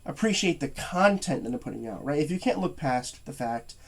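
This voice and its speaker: Young male movie reviewer, slightly high voice, stuffy and tired, stutters, halting delivery. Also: animated. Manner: stuttering, halting, many fillers and restarts. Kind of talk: casual to-camera rant.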